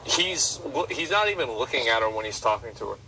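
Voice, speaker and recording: A man's voice: medium pitch, sing-song, a little fast, recorded from a distance, noisy background. A man casually reacting to a video with a co-host, blunt and mocking. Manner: blunt, mocking, talks over others.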